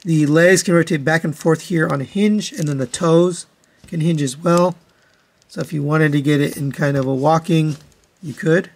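A man giving a casual product review as a hands-on toy reviewer, talking in a methodical, matter-of-fact way. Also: a little high.

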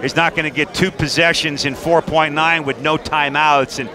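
A man's voice: on the high side, steady tone, moderate pace. A middle-aged man interviewing after a game as a sports broadcaster, conversational, speaking into a headset mic. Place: courtside in an indoor arena. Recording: crowd noise in busy arena.

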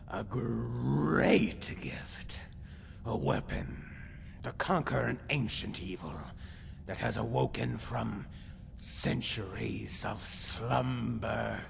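A self-proclaimed lizard-man hero from a fantasy game. He speaks extremely slowly and pompously, relishing his own boasts. The voice is a croaking, breathy rasp, over clearly noisy game ambience. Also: lowish voice, heavily acted. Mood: self-important. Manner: slow, pompous, theatrical storytelling. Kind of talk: fantasy video game character monologue.

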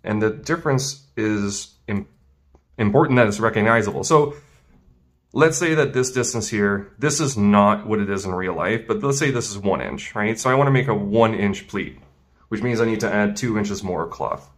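A man's voice: fairly low pitch, normal speed. A man teaching as a tailoring instructor in a casual hands-on demonstration, informal and thinking aloud as he works. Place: indoors at a work table.

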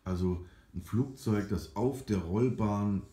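A man with a low, very mellow and dark voice explains something off the cuff. His phrasing is halting and drawn out, often capped with a short tag question. The room adds clear echo around him. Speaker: amateur explainer of aviation topics. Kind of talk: informal unscripted explanation over a hand-drawn sketch. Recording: roomy, echoing home recording.

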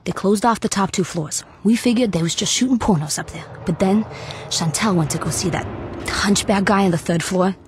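A woman's voice: medium pitch, brisk, a little hiss or hum, close to an everyday voice. A woman playing a streetwise, jaded informant in a video game, blunt, slangy and foul-mouthed. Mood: matter-of-fact.

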